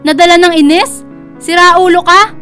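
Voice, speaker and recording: A woman's voice: very high voice, steady tone, normal speed, pushed and bright, faint music behind. A woman playing an emotional, protective mother in a radio drama, with a dramatic delivery. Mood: furious, shocked. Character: protective, emotional single mother defending her daughters.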